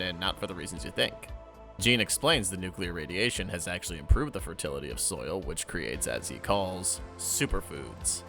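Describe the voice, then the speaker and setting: A man's voice: sits low, vocal fry. A man narrating a scripted recap and review with wry asides. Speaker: guest co-commentator.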